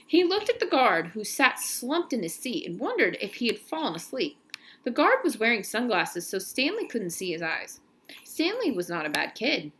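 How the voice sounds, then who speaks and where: A woman reading a children's novel aloud in an expressive storytelling style, now and then stumbling and correcting herself. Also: on the high side, moderate pace, slight background noise.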